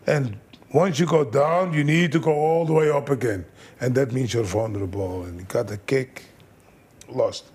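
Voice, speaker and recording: A man, a fight trainer, telling animated stories in broken English as an interview guest. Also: medium pitch, normal speed, husky. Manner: animated storyteller, broken English, acts out quotes. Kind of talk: casual storytelling interview.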